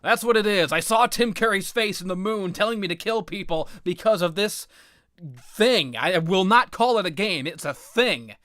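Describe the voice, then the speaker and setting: A man's voice: sits high, lively intonation, moderate pace, fairly bright tone, no echo, clean studio sound. A man giving a casual, comedic rant as a video game commentator, exasperated and profane, with rambling, stammered repetitions and plenty of exaggeration.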